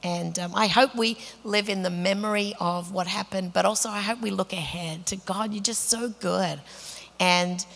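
A woman with a slightly raspy voice, leading a women's church gathering in prayer and casual sermon talk, full of fillers and restarts. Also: mid-range voice, fairly even intonation, normal speed, in a large hall. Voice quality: raspy today. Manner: warm, chatty, many fillers and restarts. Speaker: women's ministry leader.

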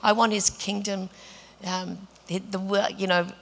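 A woman with a slightly raspy voice, leading a women's church gathering in prayer and casual sermon talk, full of fillers and restarts. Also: mid-range voice, little pitch movement, unhurried, big-room sound. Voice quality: raspy today. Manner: warm, chatty, many fillers and restarts. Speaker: women's ministry leader.

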